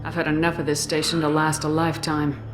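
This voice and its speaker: Actress as tough female sci-fi commander: low register, blunt, firm, unsentimental, music underneath. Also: completely flat delivery, fast, lightly acted. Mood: impatient. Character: hardened, blunt female space military commander. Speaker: video game protagonist. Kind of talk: video game voice acting. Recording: loud background music. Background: game music.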